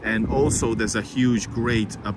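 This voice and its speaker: A man whose first language is Russian rambles through a live tour on a city street, his fairly low voice full of hesitations and asides to the viewers. Loud traffic runs under everything he says. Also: fairly even intonation, measured pace. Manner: rambling and hesitant, many fillers, keeps addressing viewers. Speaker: host giving a live walking tour. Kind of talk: live-streamed walking tour chat.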